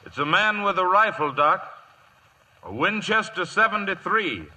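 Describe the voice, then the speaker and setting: An actor playing a plain-spoken, loyal cowboy sidekick with a drawl in an old radio drama. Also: male voice, high-pitched, expressive, measured pace, bright, forceful tone, full character voice. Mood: plain, urgent. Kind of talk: 1950s radio drama acting.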